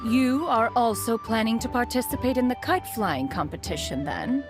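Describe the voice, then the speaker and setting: A woman's voice: fairly high pitch, animated, normal speed, natural voice. A woman voicing a haughty ancient immortal inventor. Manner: archaic and formal, calls herself "one", haughty. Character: aloof ancient female immortal inventor, proud and formal. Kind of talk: game dialogue. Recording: soft game music behind.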